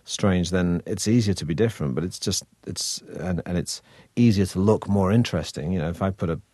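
A man's voice: sits low, fairly quick, darkish tone, no room sound, clean studio sound. A man with a Manchester accent, an actor chatting as an interview guest in a wry, hesitant way full of restarts. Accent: Manchester (northern English). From Manchester, England. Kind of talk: relaxed interview conversation.

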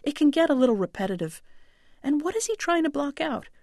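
A woman's voice: sits high, animated, fairly quick, a little mellow, no room sound, studio quality. A woman book critic delivering a scripted radio review in a wry, articulate storytelling tone.

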